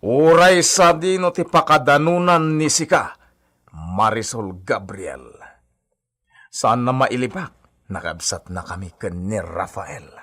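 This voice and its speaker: An actor playing a scheming, swaggering brother in a melodramatic Philippine radio drama, with a taunting, exaggerated delivery. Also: male voice, medium pitch, animated, slow, pauses often, noisy background. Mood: defiant. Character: theatrical, scheming twin brother with a swaggering temper.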